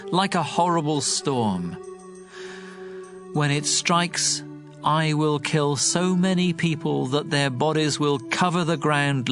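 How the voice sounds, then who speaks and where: A man voicing grave prophet Jeremiah, slightly high voice. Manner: grave, measured reading of warnings. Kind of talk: scripture audio drama narration. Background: soft music.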